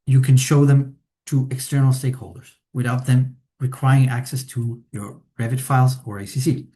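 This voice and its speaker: Chilean-born man giving a software webinar. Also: medium pitch, level tone, moderate pace. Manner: hesitant, many fillers, tag questions. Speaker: implementation consultant presenting a webinar. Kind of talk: presentation walkthrough.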